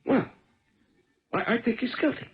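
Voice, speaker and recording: An American actor playing a plodding, plain-spoken homicide detective and husband in a 1970s radio drama. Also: male voice, moderate pace, lightly acted. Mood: defensive, exasperated. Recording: muffled old radio broadcast.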